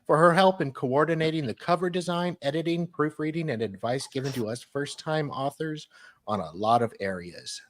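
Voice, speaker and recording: A man's voice: fairly high pitch, moderate pace, no room sound. A man co-hosting a casual show, reading emails aloud and chipping in with short remarks.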